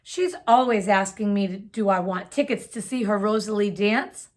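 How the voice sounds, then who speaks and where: A woman's voice: mid-range voice, normal speed, a little mellow, lightly acted. A woman reading aloud, voicing a firm, loving elderly grandmother in a children's storybook. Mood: reassuring.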